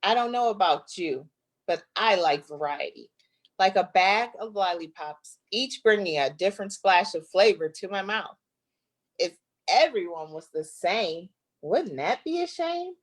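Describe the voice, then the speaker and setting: A woman from Flint in the United States, an author reading her children's book aloud with deliberate, playful expression. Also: mid-range voice, expressive.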